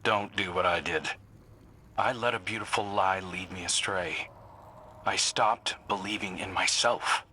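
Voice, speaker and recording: An actor playing a regretful, reassuring mentor in a video game, with a husky, plain-spoken, sincere delivery. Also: male voice, sits low, unhurried, sharp tone, a little hiss or hum. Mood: rueful, reassuring. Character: regretful, reassuring male mentor with a troubled past. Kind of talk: video game dialogue acting.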